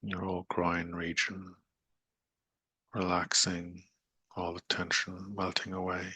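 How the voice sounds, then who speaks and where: A man guides a meditation in a slow, soothing manner, leaving long pauses between short phrases. His delivery is very even, with little melodic movement. The voice is husky and breathy yet crisp on the top end, gently coaxing the listener along. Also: sits low, dry recording.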